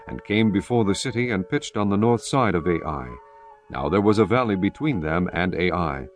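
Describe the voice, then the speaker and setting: A man narrating scripture in a measured, solemn, formal way. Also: lowish voice.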